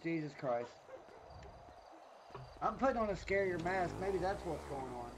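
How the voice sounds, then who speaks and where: An excitable man chatting and exclaiming as he plays an online game with friends. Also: sits high, a little slow. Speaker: gaming live streamer. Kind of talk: casual reactive game chat. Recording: clear game noise behind voice.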